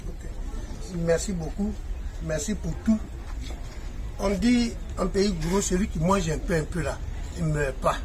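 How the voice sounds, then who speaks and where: A man's voice: sits high, measured pace, pauses often. A man giving an effusive thank-you speech, quoting a proverb. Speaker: community representative. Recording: distant mic with loud street noise.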